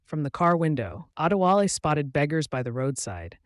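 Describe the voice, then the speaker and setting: A man's voice: fairly high pitch, big pitch swings, moderate pace, no echo. Man narrating a folktale, very dry sound. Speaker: storyteller.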